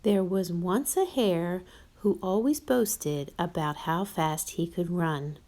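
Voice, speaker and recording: A woman storyteller reading a storybook aloud to young children, expressive and playful. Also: on the low side, slow pace. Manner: expressive, addresses listeners playfully.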